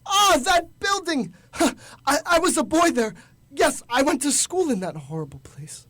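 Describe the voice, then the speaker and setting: A woman's voice: high-pitched, sing-song, a little slow, a bit bright, no echo, heavily acted. A young woman playing Ebenezer Scrooge, an old miser who is frightened and remorseful, in a stammering, exclamatory radio drama style. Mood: joyful nostalgia. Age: young adult. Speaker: student actor.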